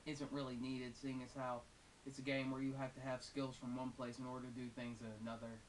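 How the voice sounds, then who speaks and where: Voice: mid-range voice, little pitch movement, a little fast. A female-sounding game reviewer giving casual, unscripted and opinionated commentary in a conversational way with wry asides. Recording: hissy, distant microphone.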